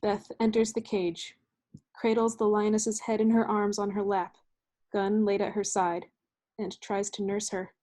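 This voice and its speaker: A woman's voice: mid-range voice, flat delivery, unhurried, dark tone. A woman reading stage directions as scripted narration for a staged play reading. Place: remote staged reading over video call. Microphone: video call microphone.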